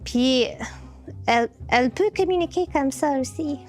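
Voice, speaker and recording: Slow, hesitant woman speaking French. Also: sits high, darkish tone, faint music behind. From United States (Baltimore, Maryland). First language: English. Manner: hesitant, many filler sounds and restarts. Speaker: puppeteer. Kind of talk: interview answers. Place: her puppet workshop.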